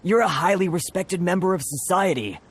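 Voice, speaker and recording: An actor playing an anxious, apologetic merchant in a video game, hesitant and flustered. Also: male voice, high-pitched, moderate pace, husky. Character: anxious, apologetic foreign merchant, self-pitying.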